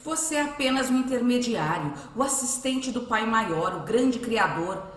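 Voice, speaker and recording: Brazilian radio-play mother, played by an actress: anxious, protective, lively swings in pitch, echoey room. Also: moderate pace, lightly acted. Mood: distressed. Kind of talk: radio drama acting. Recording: roomy echo.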